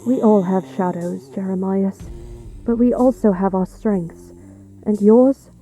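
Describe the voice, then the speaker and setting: An actress playing a guarded, quietly compassionate woman traveller in an audio drama, with a terse, sober, measured delivery. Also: medium pitch, faint music behind, lightly acted, low-quality recording. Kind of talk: audio drama character acting.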